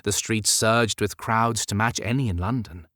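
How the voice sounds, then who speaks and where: A man narrating historical romance fiction as an audiobook, in measured, expressive storytelling. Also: fairly low pitch, dry recording, studio quality.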